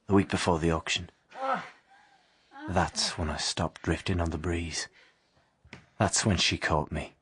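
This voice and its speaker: A man's voice: low voice, steady tone, measured pace, long pauses, a crowd in the background. An actor playing a moody young working-class drifter who narrates and lives out his story in a radio drama. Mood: haunted, reflective.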